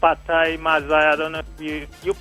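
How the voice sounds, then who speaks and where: A man's voice: fairly high pitch, completely flat delivery, normal speed, pushed and bright, faint music behind. A man calling into a radio show, rambling and hesitant. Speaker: caller. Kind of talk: call-in radio chat.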